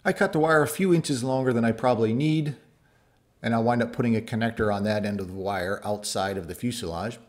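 A man's voice: mid-range voice, fairly quick. A middle-aged man narrating his kit airplane build in a home workshop, explaining each step plainly and practically.

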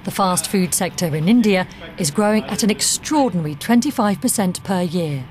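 A woman's voice: on the low side, animated, moderate pace, a little mellow, a little hiss or hum. A woman reading scripted documentary narration as the narrator of the film. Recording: music under the narration. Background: background music.

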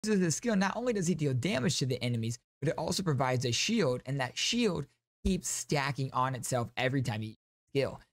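Young man, enthusiastic game commentary, medium pitch, close mic, dry home studio. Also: very animated, a little fast, no room sound. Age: young adult. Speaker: YouTube gaming host. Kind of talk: upbeat game reaction commentary. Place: small home streaming room, indoors. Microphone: close studio microphone on a boom arm.

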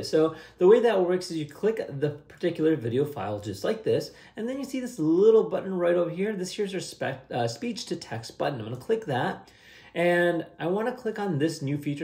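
Higher-voiced young man in an echoey room. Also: a little mellow. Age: young adult. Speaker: host of a software tutorial channel. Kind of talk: casual screen-share tutorial with review. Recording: roomy, echoey indoor sound.

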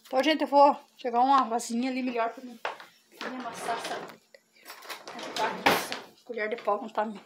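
Chatty high-voiced woman cooking at home. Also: steady tone, fairly quick, noisy background. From Brazil. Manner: chatty, keeps saying "gente" to viewers. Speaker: cooking vlogger. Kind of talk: casual cooking demonstration. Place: home kitchen on a farm.

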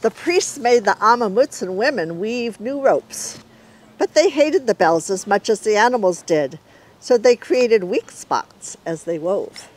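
A middle-aged woman reading a children's story aloud in an expressive storyteller's way. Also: a little high, measured pace, rough recording.

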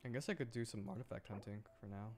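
A man doing casual livestream gameplay commentary, excitable and thinking aloud as he plays. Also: lowish voice, quick pace, faint music behind. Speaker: streamer.

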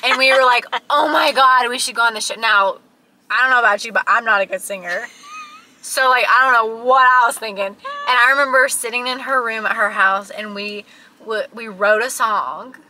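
A woman's voice: fairly high pitch, normal speed, bright, forceful tone, low-quality recording. A woman in her twenties chatting casually as a vlogger, telling stories with dramatic emphasis in a car. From small town. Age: 20s. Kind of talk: casual chat, storytelling with a friend. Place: inside a parked car at night. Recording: background noise inside a parked car.

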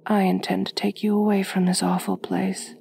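A woman playing a kind but possessive, aristocratic vampire mistress in a soft-spoken ASMR roleplay, gentle and genteel, often close to a whisper. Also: medium pitch, level tone, moderate pace, gravelly, a little hiss or hum. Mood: gentle, soothing. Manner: gentle, soothing, genteel, formal phrasing.

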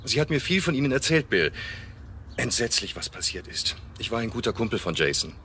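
A man's voice: mid-range voice, big pitch swings, a little fast, pushed and bright, slight background noise. A man playing a slick, ingratiating lawyer who is overly casual, in a radio drama.

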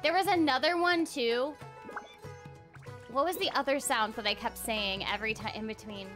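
A woman's voice: fairly high pitch, normal speed, fairly bright tone, faint music behind. A young woman chatting casually on a livestream, playful and exuberant, theatrically acting out little quotes. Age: young adult. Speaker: streamer and voice actor. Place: small indoor room, streaming over a game.

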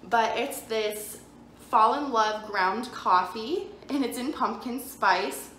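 A woman's voice: fairly high pitch, slow pace, a bit bright. A young adult woman vlogger talking casually and enthusiastically to camera indoors, in an informal, gushing haul style. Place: indoors in a plain-walled room. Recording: echoey room with faint background noise.

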